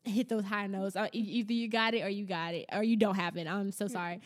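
A young woman with a strong, loud soprano voice, chatting casually and giving advice in an animated, emphatic way, repeating phrases for effect. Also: mid-range voice, fast. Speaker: singer, interview guest.

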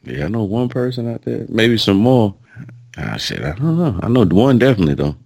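A man co-hosting a podcast, bantering in relaxed slang. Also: lowish voice, fairly quick, gravelly, no room sound, studio quality. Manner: laid-back slangy banter. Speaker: podcast co-host. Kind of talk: casual chat.

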